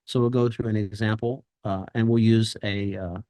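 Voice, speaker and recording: A man's voice: lowish voice, fairly even intonation, normal speed, warm tone, dry recording. Conversational American man hosting a class, dry sound. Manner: loose, rambling, conversational, often tagging "right?". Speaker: flight instructor hosting an online class. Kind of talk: informal live webinar presentation.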